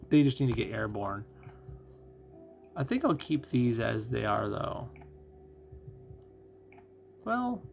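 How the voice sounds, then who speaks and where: A man giving casual live game commentary as a streamer, musing and thinking out loud as he goes. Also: mid-range voice, gravelly, slight background noise. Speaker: gaming streamer.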